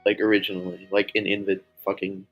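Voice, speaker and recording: A man's voice: low-pitched, completely flat delivery, fast talker, lo-fi sound. A man co-hosting a livestream in casual banter. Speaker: livestream co-host. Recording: music playing behind the voice.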